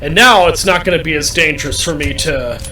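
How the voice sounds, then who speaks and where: A man giving a gameplay walkthrough, deliberately explaining things to viewers and often repeating himself. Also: on the high side, sharp tone. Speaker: gamer commentating a playthrough. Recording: roomy, distant mic, faint background noise.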